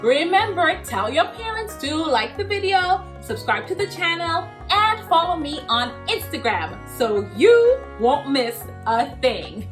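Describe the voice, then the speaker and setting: A woman hosting a children's Bible lesson, cheerful and animated, laughing often as she talks to kids. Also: very high-pitched, unhurried, few pauses, faint music behind. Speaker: children's story host.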